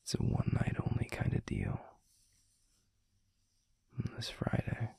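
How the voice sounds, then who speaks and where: A man voicing a gentle, protective alpha werewolf boyfriend in an intimate ASMR roleplay, murmuring slowly and haltingly in a breathy, gravelly near-whisper. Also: dry recording, lightly acted. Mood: calm, reflective. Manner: slow, halting, soothing murmur with pauses.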